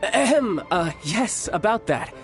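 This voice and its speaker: Here is a cocky young warrior from an anime-style game. His delivery is theatrical and very lively, swinging between playful swagger and big-brotherly politeness. Music plays under the voice. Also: high-pitched, slow pace, heavily acted. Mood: sheepish, confiding.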